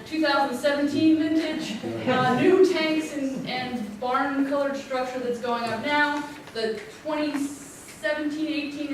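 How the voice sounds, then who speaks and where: Woman, fairly high voice, loud explanatory presentation, echoey room, far from mic, background hum. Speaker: engineer presenting a water plan. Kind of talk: public meeting presentation. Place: community meeting room.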